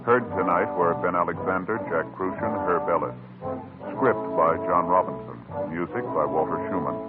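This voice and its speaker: Casting: low-voiced formal male announcer, tinny 1950s radio sound with music behind. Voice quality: measured, formal, solemn.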